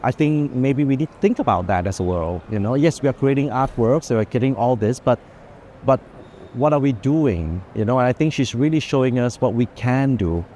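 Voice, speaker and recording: Earnest man with a mellow voice. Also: medium pitch, expressive, moderate pace. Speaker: art collector in conversation. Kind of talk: thoughtful spontaneous discussion. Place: indoor art fair, walking among stands. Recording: faint busy fair hall noise.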